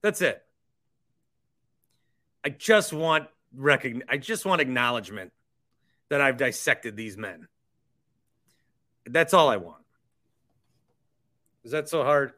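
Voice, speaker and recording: Bright-voiced man hosting a sports podcast. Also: mid-range voice, lively intonation, slow, long pauses. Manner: conversational, opinionated, repeats phrases for emphasis. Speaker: podcast host. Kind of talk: sports podcast talk with sponsor reads.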